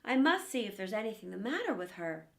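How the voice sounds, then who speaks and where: A woman's voice: mid-range voice, sing-song, brisk, vocal fry, pitched below the performer's own voice. A stern storybook huntsman, played by a woman. His lines are firm and strongly inflected, rising and falling in a read-aloud manner. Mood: concerned.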